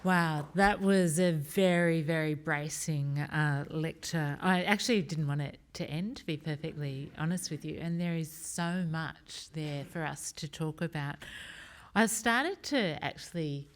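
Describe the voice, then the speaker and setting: A woman hosts a panel discussion with an enthusiastic, chatty manner, scattering fillers as she goes. Her voice sits a little low. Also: a little slow. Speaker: moderator. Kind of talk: panel moderation.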